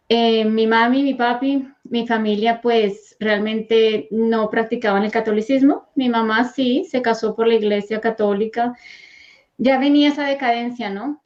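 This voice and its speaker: A woman from Colombia, earnestly sharing her personal faith testimony as a guest. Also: medium pitch. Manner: soft, earnest and heartfelt, with gentle fillers. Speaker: guest, host's wife. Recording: dull, lo-fi sound.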